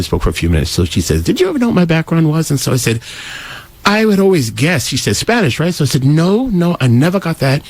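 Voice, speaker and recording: A man's voice: fairly high pitch, no room sound. A man giving an opinionated, explanatory talk with anecdotes in an emphatic way, acting out remarks he quotes.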